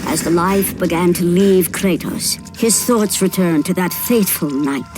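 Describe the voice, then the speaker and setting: An actress playing a grave storyteller, narrating a mythic video game tragedy in a solemn, portentous way over music. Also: female voice, fairly low pitch, measured pace, natural voice. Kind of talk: dramatic scripted game cutscene narration. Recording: loud music behind the voice.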